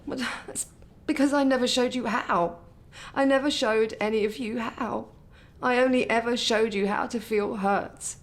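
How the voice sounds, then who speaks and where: A woman's voice: fairly high pitch, fairly even intonation, normal speed, lightly acted. An actress playing a middle-aged mother visiting her son in hospital, defensive and remorseful. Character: chaotic, guilt-ridden middle-aged mother. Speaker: patient's mother. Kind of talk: radio play acting.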